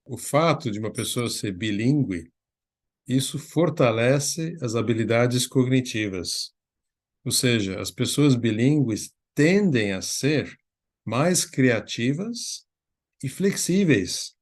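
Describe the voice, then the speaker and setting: A man's voice: medium pitch, expressive, takes it slowly. A man hosting a panel discussion, explaining points with fillers and passing the word to other speakers. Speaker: panel host. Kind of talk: moderating a panel talk.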